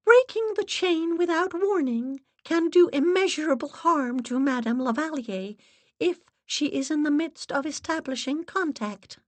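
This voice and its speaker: A woman's voice: sits high, measured pace, dry recording, pitched above the performer's own voice. Woman voicing a stern, commanding séance assistant. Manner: clipped, authoritative, formal.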